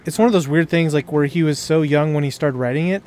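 A man's voice: fairly high pitch, quick pace, a little mellow. A man in casual, slangy gameplay chat, joking as he goes with his co-op partner. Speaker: gaming streamer, co-op player.

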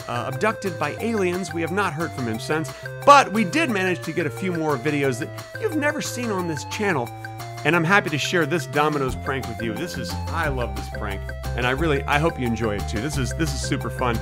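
A middle-aged man with an American accent hosting a video, talking casually to camera with a sponsor read, into a desk mic at home. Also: high-pitched. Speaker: video host. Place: small home room, indoors. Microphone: desk microphone close in front.

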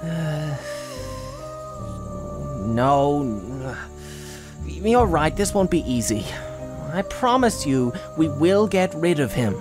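Man as a wary cartoon mug boy, slow, expressive. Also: on the high side, full character voice. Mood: determined. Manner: earnest, insistent. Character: stern, wary young brother, living cartoon mug. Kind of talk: comic dub voice acting. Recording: prominent music behind the voice.

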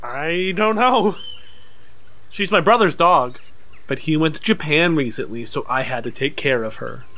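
An actor, a man, playing an excitable, defensive alien enthusiast out walking a dog in an audio drama. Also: a little high, unhurried. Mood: bewildered. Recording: music behind the voice.

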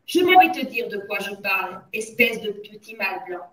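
Woman doing a loud barking tyrant headmistress, echoey room. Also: medium pitch, very animated, normal speed, close to an everyday voice. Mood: furious, accusing. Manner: barks commands and theatrical insults. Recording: roomy echo, distant from mic.

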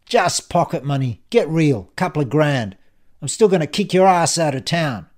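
A man voicing a menacing, foul-mouthed male bully in an audiobook, sneering and contemptuous, with hissed threats and loud bursts. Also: a little high, animated, normal speed, lightly acted. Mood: scornful. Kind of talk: audiobook character dialogue.

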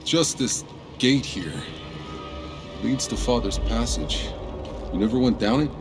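An actor playing a rugged, weary, stoic middle-aged warrior in a video game, gravelly and laconic, with dry understatement. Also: male voice, mid-range voice, expressive, measured pace. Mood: guarded, questioning. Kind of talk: naturalistic game cutscene acting. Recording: loud cinematic music underneath.

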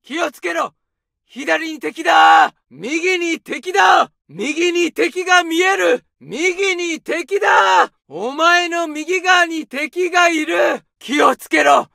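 An actor playing a young male Japanese infantry soldier, barking orders and battle shouts as game callouts. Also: very high voice, slow, creaky, dry recording, clean studio sound, heavily acted. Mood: alarmed shouting. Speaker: soldier voice lines.

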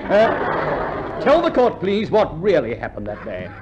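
An excitable courtroom lawyer in old radio comedy. His high voice swoops dramatically, with light noise on the vintage recording. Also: unhurried, muffled recording. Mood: fussy. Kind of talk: 1950s radio comedy acting. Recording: noisy 1950s radio recording.

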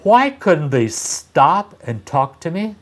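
A man's voice: fairly high pitch, big pitch swings, takes it slowly, pitched above the performer's own voice, heavily acted. A man voicing a lonely little toy rabbit in a storybook, pleading and wistful in a plaintive way. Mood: wistful, dismayed.

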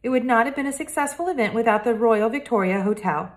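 A woman voicing a snobbish, haughty young girl who talks loudly, slowly and disdainfully. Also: a little high, voice pushed up, close to an everyday voice. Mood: indignant. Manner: loud, slow and disdainful.

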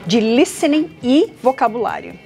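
High-voiced Brazilian woman presenter. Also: big pitch swings, moderate pace, vocal fry, music in the background. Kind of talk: upbeat vlog presenting. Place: indoor classrooms and corridors of a school. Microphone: lapel.

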